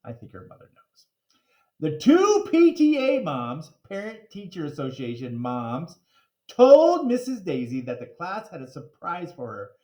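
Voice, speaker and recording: A man with a high voice reads a children's story aloud. His delivery swings widely in pitch, playful and dramatic, and he drops in chatty asides like someone reading to a class of kids. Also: wildly swooping pitch, unhurried, mellow, roomy sound.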